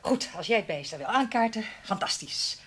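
A woman playing a tactful, persuasive veteran fashion columnist in a Dutch radio play, with a husky voice and a coaxing, familiar manner. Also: mid-range voice, expressive, moderate pace, fairly bright tone. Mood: tactfully coaxing.

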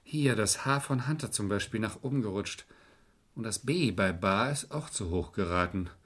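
An actor playing a shrewd, domineering lawyer in a crime radio play, probing and sardonic. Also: male voice, lowish voice, normal speed, a bit bright. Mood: cool, pointed. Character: shrewd, domineering defence lawyer, scornful and commanding. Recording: old radio drama recording.

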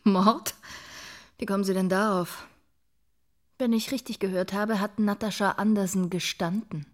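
A woman's voice: medium pitch, steady tone, a little slow, lightly acted. An actress playing a cool, irritated, sarcastic woman in a radio drama. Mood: wry. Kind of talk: German radio drama acting.

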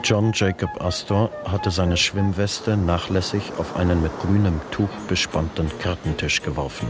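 Deep-voiced actor as male disaster chronicler, standard German, deliberate radio-play narration over music. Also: steady tone, lightly acted. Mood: sober, grave.

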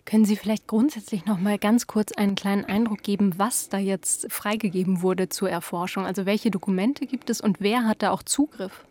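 A woman's voice: medium pitch, fairly even intonation, fairly quick, few pauses, a little mellow, a little hiss or hum. A woman interviewer putting prepared questions in a polite, formal way.

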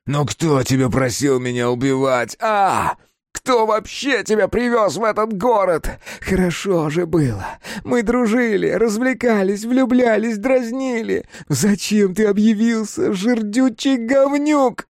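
A man voicing a frantic, enraged male character in an audiobook, shouting accusations in a breaking, shrill voice. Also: lively intonation, measured pace, no echo, clean studio sound. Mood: bitter, accusing.

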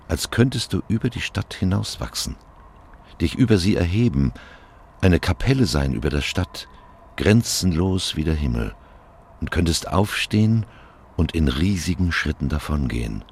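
Very deep, gravelly, fatalistic dark narrator. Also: male voice, slight background noise, full character voice. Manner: grave, poetic, knowing. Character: dark, fatalistic male narrator taunting a lost lover. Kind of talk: literary radio drama acting.

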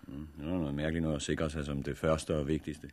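A man acting in a 1960s radio drama as a brisk, authoritative survivors' leader with a commanding, matter-of-fact manner. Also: a bass voice, flat delivery, darkish tone, dry recording. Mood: brisk, businesslike. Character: brisk, authoritative male organizer of disaster survivors.

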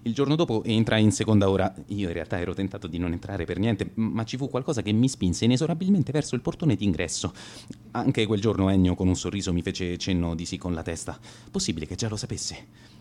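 A man's voice: fairly low pitch, fairly quick, a little mellow, lightly acted. An actor playing a cowardly teenage schoolboy who boasts as an accidental bully, telling his story in a radio drama monologue with stammered hesitations. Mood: tense, rueful.

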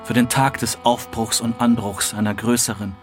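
A man's voice: mid-range voice, fairly even intonation, normal speed, soft background music, close to an everyday voice. A man playing an earnest, melancholic young writer, reciting literary texts in a radio play. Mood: defiant, earnest.

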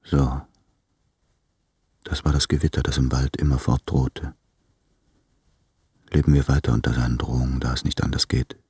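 A weary lover in an intimate radio drama. His voice is extremely deep and very husky, close to breath at times. He broods over his beloved in hushed, even tones. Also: moderate pace, pauses often, no room sound, exaggerated voice. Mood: weary, yearning. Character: weary, lovesick man addressing his distant beloved. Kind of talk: intimate literary radio play monologue.

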